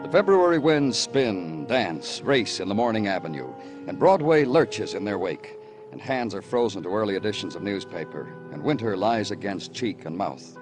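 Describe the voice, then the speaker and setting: An actor playing a world-weary police detective in old radio noir, speaking in brooding, poetic, clipped phrases. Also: male voice, fairly low pitch, big pitch swings, moderate pace, music in the background. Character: world-weary male police detective narrating his own case. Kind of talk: 1950s radio noir narration.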